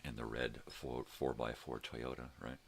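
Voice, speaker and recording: A middle-aged man with a gravelly voice, rambling casually as he tells stories with swearing and emphatic bursts. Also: extremely low pitch, little pitch movement, normal speed, slight background noise. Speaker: host of a daily personal vlog.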